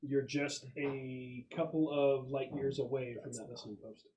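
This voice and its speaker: A man's voice: mid-range voice, steady tone, moderate pace, mellow, highs cut off, slight background noise. A man improvising explanations as the game master of a tabletop role-playing game.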